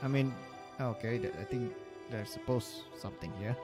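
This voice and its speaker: A man giving casual livestream game commentary in a halting, joking way, often repeating his words. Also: medium pitch. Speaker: live streamer. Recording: game music behind the voice.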